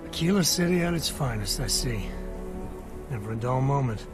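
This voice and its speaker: An actor playing a wry, world-weary space cowboy in a video game, laconic and folksy. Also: male voice, mid-range voice, animated, moderate pace, loud music behind the voice, lightly acted. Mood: dry, resigned.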